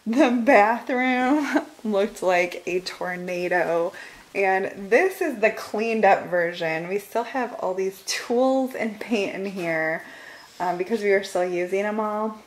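A woman's voice: medium pitch, takes it slowly, rough recording. Chatty Michigan woman giving a home tour. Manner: chatty, laughs often, trails off. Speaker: vlogger. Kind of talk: casual home tour vlog. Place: house, indoors, moving through rooms.

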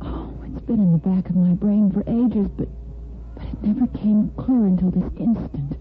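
An actress playing a caring, emotional hospital nursing superintendent in a 1950s radio soap opera, earnest and hesitant. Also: female voice, medium pitch, normal speed, close to an everyday voice. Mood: urgent, self-reproachful. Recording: tinny, noisy old radio broadcast.

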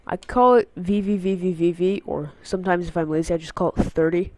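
A man's voice: high-pitched, fairly even intonation, brisk, darkish tone, no echo. Congested teen boy rambling at his computer. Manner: rambling, filler-heavy, goes on tangents. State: congested from a cold. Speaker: gaming channel host. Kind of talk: casual channel update. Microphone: unfamiliar headset mic.

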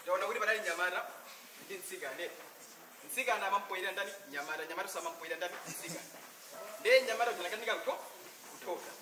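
Young Malawian man, very quick, rhetorical questioner, noisy echoey room. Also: high-pitched. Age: young adult. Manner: rhetorical questions he answers himself. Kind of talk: group discussion. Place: indoor room. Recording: echoey, distant, clear background noise.

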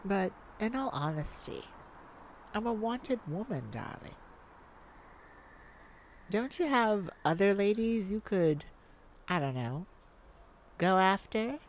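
A woman playing a cocky, flirtatious master thief in an ASMR romance roleplay, with teasing, drawn-out phrasing and dramatic pauses. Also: medium pitch, expressive, slight background noise.

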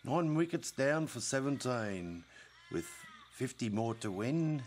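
Slow-paced man reciting verse. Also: mid-range voice, animated, darkish tone, a little hiss or hum. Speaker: poetry reader. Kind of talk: dramatic recitation of a comic bush ballad.

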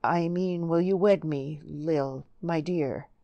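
An earnest, smitten young farm lad from a storybook, played by a woman. He talks bluntly in rural English dialect. The voice is low and closely recorded, with a dry sound. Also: slow pace, vocal fry, mellow, dry recording, highs cut off, heavily acted. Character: rustic young countryman, bashful, smitten, earnest suitor.

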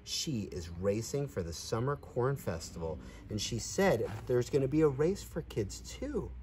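A man's voice: mid-range voice, lively intonation, measured pace, darkish tone, recorded from a distance, slight background noise, lightly acted. A man voicing an eager young male horse in a children's storybook, outdoors. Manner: eager, announcing.

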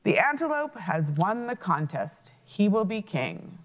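A woman's voice: medium pitch, sing-song, a little slow. A woman voicing a wise leopard king. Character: old male leopard king, wise and dignified.

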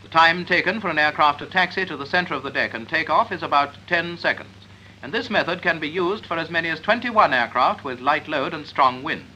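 A British man narrates a wartime-era training film in clipped, orderly sentences. His voice sits a little high for a man. The old 1940s soundtrack is clearly noisy. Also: brisk, pushed and bright.

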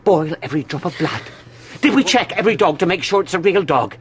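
An actor playing a feverish research scientist half-crazed with dread, halting and intense, in a radio drama. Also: male voice, fairly high pitch, animated, a little hiss or hum, lightly acted. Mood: urgent, paranoid.

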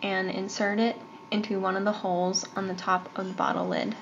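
A woman's voice: medium pitch, flat delivery, fairly quick. A woman giving a step-by-step instructional demonstration of medical equipment. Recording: dull lo-fi sound, faint noise.

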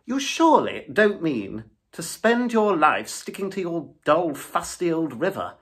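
A storybook reader voicing an excitable, boastful male toad with a husky voice, gushing and loud. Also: mid-range voice, a little slow, voice pushed up, exaggerated voice. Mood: pleading. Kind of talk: storybook reading.

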